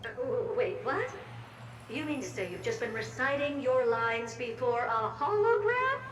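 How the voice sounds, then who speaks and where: High, theatrical, gushing magic mirror spirit. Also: female voice, sing-song, measured pace, reverberant, recorded from a distance, heavy background noise. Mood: flustered. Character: theatrical, excitable, adoring female magic mirror spirit narrator. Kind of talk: video game voice acting.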